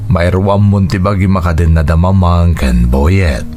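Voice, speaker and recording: A man's voice: low-pitched, measured pace, mellow, soft background music. An actor with an Ilocano accent playing an earnest grown son and father in a Philippine radio drama. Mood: earnest, reassuring. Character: earnest adult son, widowed father planning to remarry.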